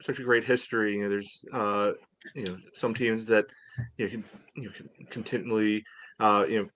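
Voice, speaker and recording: A man co-hosting a sports fan podcast in casual chat, with a dry, teasing manner and halting storytelling. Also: fairly low pitch, fairly even intonation, fairly bright tone, no room sound, low-quality recording. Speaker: podcast co-host.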